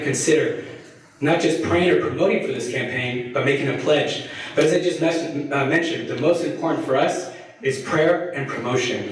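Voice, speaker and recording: A man's voice: fairly high pitch, slight background noise. Joking young man in an echoey hall. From Colombia. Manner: friendly, joking, with many fillers. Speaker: fundraising consultant. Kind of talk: presentation to a parish audience. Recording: distant mic in a very echoey hall.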